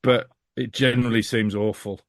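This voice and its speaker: A man's voice: medium pitch, level tone, normal speed, no room sound. A British man as a podcast guest, chatting casually in a blunt, emphatic, sweary way. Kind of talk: casual chat.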